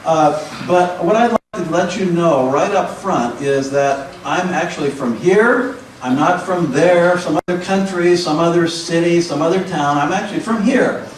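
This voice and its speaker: A man's voice: fairly high pitch, moderate pace, far from the mic, slight background noise. Playful man joking in an echoey room. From Pacific Northwest. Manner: playful, self-deprecating, rambling. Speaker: comedian, the woman's husband. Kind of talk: stand-up routine. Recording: echoey room, mic from afar.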